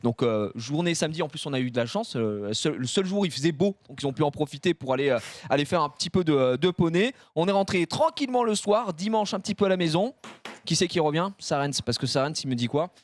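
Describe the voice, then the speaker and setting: A man's voice: a little high, lively intonation, quick pace, dry recording. A man hosting a casual panel chat, chatty with lots of fillers and laughs, on a studio mic. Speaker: show host.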